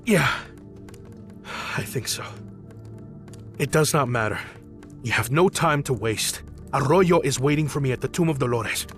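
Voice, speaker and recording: Slow, stern soldier captain. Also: male voice, medium pitch. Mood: grim resolve. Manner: formal, stiff, deliberate. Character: grim, stern soldier captain, haunted righteous male hero. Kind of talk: dramatic video game dialogue. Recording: loud music under the voice.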